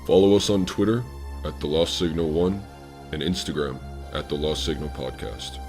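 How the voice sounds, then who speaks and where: A man's voice: rumbling low, moderate pace, darkish tone, faint music behind. A man hosting a horror podcast, reading a scripted announcement in an old-time radio manner. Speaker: podcast host.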